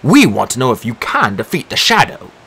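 A man's voice: medium pitch, huge pitch swings, fairly quick, gravelly, bright, forceful tone, slightly rough, exaggerated voice. A man voicing an impatient, scornful old male magician who snaps his demands in an audiobook. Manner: impatient, snapping.

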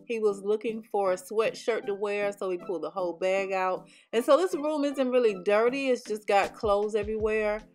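A woman's voice: medium pitch, moderate pace, few pauses, darkish tone. A middle-aged woman home vlogger giving casual voice-over narration, chatty and explaining with frequent ums.

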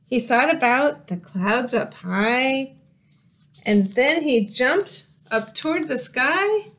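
A woman reads a picture book aloud for children. Her voice is high, and she takes her time, telling the story carefully and expressively. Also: animated, slow, fairly bright tone. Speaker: storybook reader. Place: small room indoors by windows.

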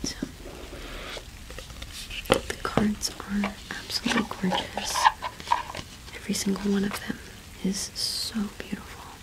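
Young woman acting as welcoming cottage witch, ASMR roleplay, whispered, even intonation, soft, reassuring. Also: medium pitch, close to an everyday voice, rough recording. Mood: kindly, welcoming. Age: young adult. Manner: soft, unhurried, gently reassuring. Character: kind, welcoming cottage witch shopkeeper serving a traveler. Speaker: ASMR performer.

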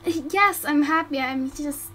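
A woman voicing a calm, blunt female witch healer in an amateur audio drama. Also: high voice, takes it slowly, slight background noise. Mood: weary.

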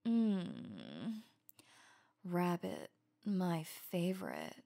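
A woman voicing an affectionate, teasing, possessive werewolf lover in intimate ASMR roleplay, soft and breathy, often slipping into a whisper. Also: fairly low pitch, wildly swooping pitch, heavily acted. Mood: sultry, playful. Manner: slow, sultry, playful murmuring.

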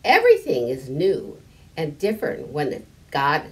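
An older woman voicing a gentle, very old storybook grandfather who explains things patiently and reassuringly. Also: sits low, huge pitch swings, measured pace, distant mic, heavily acted.

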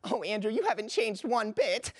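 A woman's voice: medium pitch, lively intonation, normal speed, no echo, studio quality, natural voice. A woman playing a sweet young girlfriend, gushing and cooing in a bubbly way in a stage comedy. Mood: delighted.

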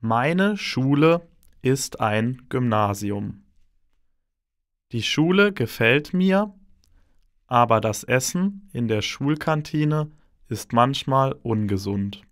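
Male reader, slow and deliberate delivery, dry booth sound. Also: mid-range voice, lively intonation, no echo. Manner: slow and carefully enunciated. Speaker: exam recording speaker. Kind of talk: slow scripted exam reading.

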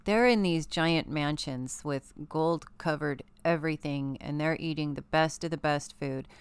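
A woman co-hosting a spiritual talk show, speaking emphatically as she agrees and lays out her points. Her voice sits notably low for a woman and is very smooth and clear. The recording is dry and close, with no room echo. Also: measured pace. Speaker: co-host. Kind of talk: casual spiritual commentary.

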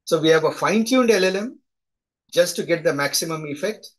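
Man, Indian accent, middle-aged, slightly high, husky, video call lecture. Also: a little fast, a bit bright. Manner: conversational, often tags "right?". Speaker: instructor. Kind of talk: live online lecture.

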